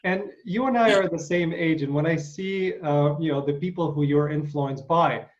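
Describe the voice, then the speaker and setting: Enthusiastic host, slightly high voice. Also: male voice, moderate pace, highs cut off, a little hiss or hum. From Ukraine. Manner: enthusiastic, frequent fillers and restarts. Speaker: interview host. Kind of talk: casual video interview.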